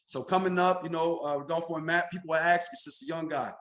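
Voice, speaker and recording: A man's voice: a little high, steady tone, fast talker, fairly bright tone. An American man in his thirties from Chicago, telling personal stories casually as an interview guest, often checking in with "right?". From Chicago, United States. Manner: conversational, frequent "you know" and "right?" tags. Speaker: podcast guest, insurance agency senior executive.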